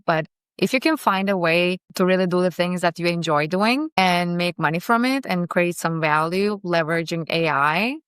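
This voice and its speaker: A woman's voice: on the low side, clean tone, no room sound, clean studio sound. A young woman from Turkey, giving earnest, optimistic commentary as a vlogger reacting to a podcast. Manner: earnest, optimistic, speaks in short phrases with pauses. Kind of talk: casual video commentary.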